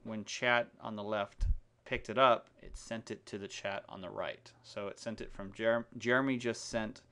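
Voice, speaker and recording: Male tutorial presenter, lowish pitch with heavy vocal fry, unscripted and halting, walking through each step of a software demo. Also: fairly even intonation. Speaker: software demonstrator. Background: keyboard typing and mouse clicks.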